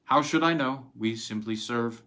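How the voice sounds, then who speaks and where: A man's voice: mid-range voice, measured pace, pushed and bright. Man reading as a resigned old peasant servant. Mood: resigned, humble.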